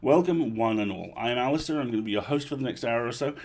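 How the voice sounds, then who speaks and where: A man hosting a livestream with casual, chatty introductions and playful, animated asides. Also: medium pitch, fast. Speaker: livestream host.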